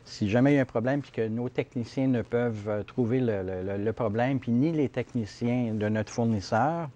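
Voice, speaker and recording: A man's voice: medium pitch, normal speed, warm tone, highs cut off. A man in his fifties with a Canadian French accent, explaining his trade hesitantly as a contractor guest in an interview. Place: TV studio set, seated on sofa.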